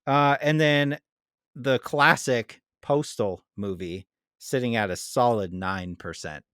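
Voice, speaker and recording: A man's voice: mid-range voice, slow, a bit bright, smooth, no echo, clean studio sound. A man hosting a podcast, chatting casually and informally as he debates. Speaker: podcast host.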